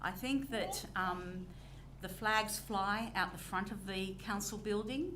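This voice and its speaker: An Australian woman chairing a council meeting, answering public questions in a measured, deliberate way with frequent pauses. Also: medium pitch, fairly bright tone. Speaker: council presiding member. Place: old council chamber. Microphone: recording microphone. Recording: noisy chamber recording.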